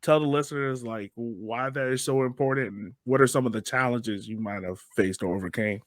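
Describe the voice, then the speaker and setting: A man's voice: mid-range voice, brisk, no room sound, studio quality. An American man hosting a podcast in a casual interview style. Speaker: podcast host.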